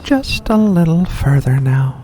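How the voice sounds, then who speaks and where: A performer voicing a mysterious, seductive vampire in a slow, deliberate ASMR roleplay, courteous and teasing. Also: mid-range voice, lively intonation, warm tone, close to an everyday voice. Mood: eerie, hypnotic. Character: seductive mysterious vampire host luring in a guest. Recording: faint background noise.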